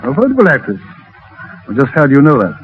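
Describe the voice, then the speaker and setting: A man playing a confident, gallant gentleman traveller with an American accent in a 1930s radio drama. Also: medium pitch, huge pitch swings, fast. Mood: brisk, commanding. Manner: assured, gallant. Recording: dull, noisy 1930s radio recording.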